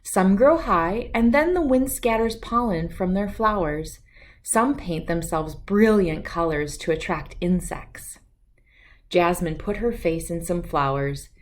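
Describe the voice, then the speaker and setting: A woman reading a children's story aloud as host, with expressive, deliberate phrasing. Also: lowish voice. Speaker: children's book reader and host.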